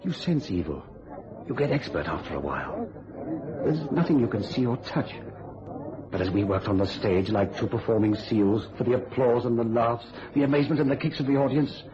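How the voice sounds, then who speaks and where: An actor playing a haunted, intense stage magician with a British accent in a dramatic radio thriller. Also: male voice, lowish voice, lightly acted. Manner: dramatic, brooding storytelling. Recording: muffled, noisy old radio recording.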